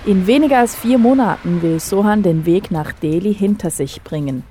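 A woman reporter narrating a radio feature in calm, scripted reportage. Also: medium pitch, moderate pace, a little hiss or hum.

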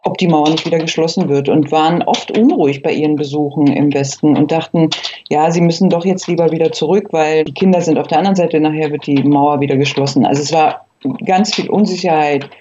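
Female interviewee, fifties, from Berlin. Warm, relaxed timbre, reflective recollection, somewhat dull sound. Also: low voice, a little fast, a little hiss or hum. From Berlin, East Germany. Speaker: interview guest, eyewitness.

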